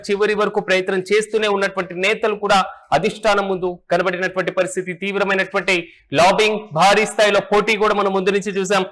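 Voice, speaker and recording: A man's voice: sits high, a bit bright. A man presenting news and political analysis in a formal, repetitive manner.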